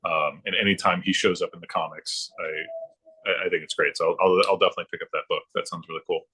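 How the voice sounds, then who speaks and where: A middle-aged man chatting casually about his hobby as a podcast guest, haltingly, often circling back and repeating himself. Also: low-pitched, fairly even intonation, sharp tone, breathy edge, rough recording. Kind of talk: casual chat about comic books.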